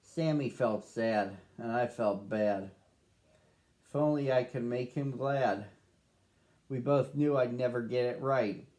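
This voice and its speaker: Guy reading a rhyming kids book out loud, slow sing-song beat. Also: medium pitch. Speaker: storybook reader. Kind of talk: reading a rhyming picture book aloud to children.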